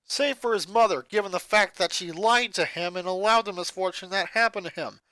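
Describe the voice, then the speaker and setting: A fan fiction reader narrating a story dramatically with an expressive delivery. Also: medium pitch, fairly quick, no echo, low-quality recording.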